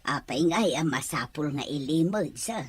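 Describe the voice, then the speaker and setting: Lady playing curious agreeable filipino worker in a drama, slightly low raspy voice. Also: expressive, moderate pace, exaggerated voice. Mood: curious, pleased. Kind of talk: Ilocano audio drama acting.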